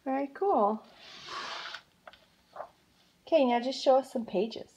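A woman talks from behind a phone camera in a home room, her voice a little high and soft-toned. She coaxes briskly and encouragingly, steering a child through his show-and-tell. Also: highs cut off, slight background noise. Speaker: parent filming a child's book review. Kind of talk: off-camera prompting.

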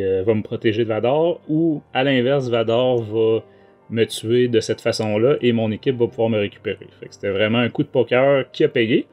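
Male podcast host, Quebec French accent, mid-pitched, casual review chat, light music bed. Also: darkish tone. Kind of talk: casual book review discussion. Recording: music under the voice.

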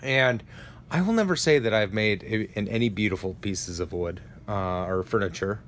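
A man's voice: lowish voice, normal speed, slight background noise. A man in his thirties vlogging from a small home workshop, rambling and self-mocking, with lots of fillers and restarts, recorded on a phone held close. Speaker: hobby woodworker. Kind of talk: casual self-deprecating workshop vlog. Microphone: phone camera held close.

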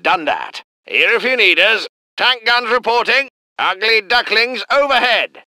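A clipped, proper British tank gunner. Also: male voice, high-pitched, sing-song, measured pace, bright, forceful tone, dry recording, full character voice. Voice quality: clipped, formal. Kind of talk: video game unit voice lines. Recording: dry close studio recording.

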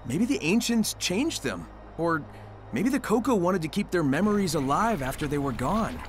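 A cocky blue hedgehog hero. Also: male voice, a little high, sing-song, normal speed. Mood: breezy. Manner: casual, breezy slang. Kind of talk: video game cutscene dialogue. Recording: game audio with music underneath.

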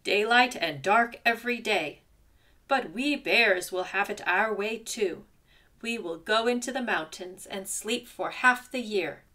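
Woman doing a big gruff lazy bear. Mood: gloating. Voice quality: deep gruff growl. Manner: loud and slow, roaring.

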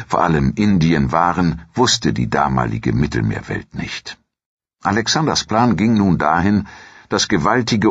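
A man narrating a historical audio documentary from a script in a measured, formal storytelling manner. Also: a bass voice, slightly rough, no room sound, dull audio.

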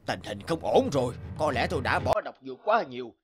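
A man's voice: medium pitch, very animated, a little fast, lightly acted. Furtive plotting court sorcerer acted by a man. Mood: worried. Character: shifty, nervous plotting male court sorcerer. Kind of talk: cartoon fairy-tale dub. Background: background music.

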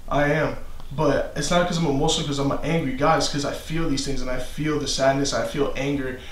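An earnest man recounts eerie experiences in a casual, heartfelt way, circling back over his words. His voice sits at a middling pitch and rings in an echoey room. A faint hiss runs underneath. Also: steady tone, fairly quick. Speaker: client in a haunted house. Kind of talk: casual emotional storytelling. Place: indoor room full of antiques.

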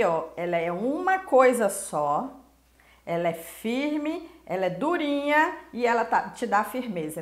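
A Brazilian woman hosting a casual cooking lesson, chatty and emphatic as she talks straight to the viewer. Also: fairly high pitch. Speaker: cooking show host. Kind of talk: casual instructional talk to camera. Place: small indoor kitchen. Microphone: lapel mic. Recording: roomy kitchen echo.

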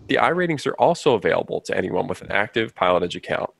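A man's voice: deep voice, very animated, fast talker, gravelly, fairly bright tone, a little hiss or hum. A man giving scripted, explanatory narration for a training video. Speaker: narrator of a flight training promo.

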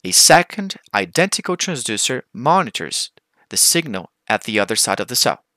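A man's voice: mid-range voice, sing-song, normal speed, sharp tone, no room sound. A man with a Brazilian accent, a native Portuguese speaker, giving a formal, methodical scripted technical presentation as a researcher.

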